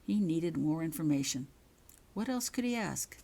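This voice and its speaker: A woman narrates a scripted story as its author. Her voice sits low and moves expressively through a wide range. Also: lively intonation, normal speed, gravelly, dark tone. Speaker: author reading own fan fiction.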